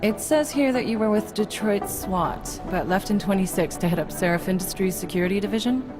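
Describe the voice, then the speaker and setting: An actress playing a calm, probing doctor who questions a patient in a game cutscene. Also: female voice, medium pitch, brisk, close to an everyday voice. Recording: game cutscene with loud music.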